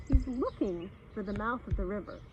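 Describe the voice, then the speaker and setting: Woman doing kids storytime, really expressive up and down voice, talks to the little listeners, slightly muffled with faint noise. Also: mid-range voice, normal speed. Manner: stops to explain and address young listeners. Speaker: storytime reader for children. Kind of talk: expressive children's story narration. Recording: slightly dull sound with faint background noise.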